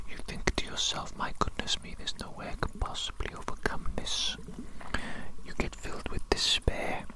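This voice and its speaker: A performer voicing a doting, eccentric evil doctor in a breathy whispered ASMR roleplay, with fussy, repetitive, quaintly formal phrasing. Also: lightly acted, poor audio. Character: doting eccentric evil doctor fussing over a tiny creature.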